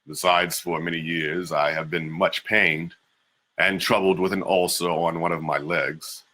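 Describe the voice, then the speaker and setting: A man giving a measured, deliberate dramatic reading of an old first-person memoir. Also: low-pitched, level tone, pushed and bright. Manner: measured, deliberate delivery with slowed emphases. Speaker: reader.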